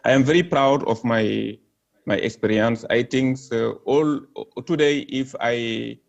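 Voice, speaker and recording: Senegalese man, hesitant panel talk, gravel in the voice. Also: mid-range voice, measured pace. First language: French. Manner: hesitant, many fillers and restarts; earnest, apologetic about his English. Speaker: panel speaker. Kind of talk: halting live-stream talk. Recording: dull lo-fi stream audio.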